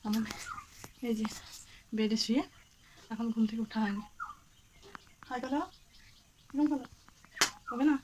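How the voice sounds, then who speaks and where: A woman's voice: a little high, a little slow, pauses often, noisy background. Sing-song coaxing young woman vlogger. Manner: coaxing sing-song talk to a small child. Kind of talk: casual home vlog.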